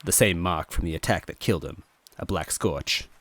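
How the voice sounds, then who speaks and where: A man voicing a grieving young toad girl, halting and emotional, in a story reading. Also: low-pitched, a bit bright. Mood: bitter.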